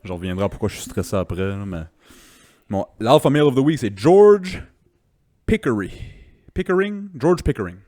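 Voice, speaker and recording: A man's voice: medium pitch, very animated, normal speed, dark tone, no echo. Male podcast host, Quebec French accent, mid-range voice, casual and joking, recorded dry in a studio. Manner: loose, joking, swears casually. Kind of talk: casual comedic chat.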